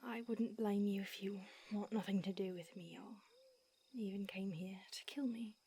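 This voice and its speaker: A woman voicing an ancient female vampire who mentors her fledgling, speaking in a hushed, breathy whisper with hesitant restarts. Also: mid-range voice, fairly even intonation, normal speed. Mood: remorseful, tender. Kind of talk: audio roleplay.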